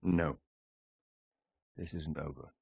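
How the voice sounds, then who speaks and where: A British secret agent in a game cutscene. His voice is deep and closely recorded, with no room around it. He is composed and businesslike, delivering terse, dry lines with quiet determination. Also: takes it slowly, vocal fry, breathy edge, no echo, full character voice. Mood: businesslike, resolute.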